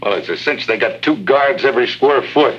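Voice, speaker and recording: A man's voice: mid-range voice, expressive, fairly quick, a bit bright, husky, soft background music. A man acting a wry, tough American secret agent in a 1950s radio drama, with sardonic, wisecracking delivery. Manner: sardonic, hard-boiled, wisecracking. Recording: dull old radio recording.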